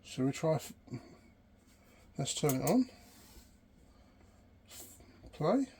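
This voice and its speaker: Guy muttering to himself while tinkering, really slow, soft mellow tone, casual and unsure, bit of background noise. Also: a little high, sing-song, vocal fry. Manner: tentative, murmuring short fragments while working. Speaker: vintage electronics hobbyist and presenter. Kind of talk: casual hands-on demonstration, thinking aloud.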